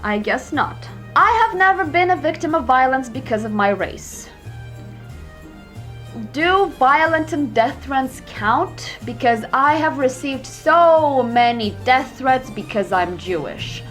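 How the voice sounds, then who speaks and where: A young woman vlogger talks to camera in a high, bouncy voice, reading quiz questions aloud and snapping back with sarcastic, profane answers. Soft music plays faintly underneath. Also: lively intonation, slow pace. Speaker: YouTuber. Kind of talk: reacting to online quizzes to camera.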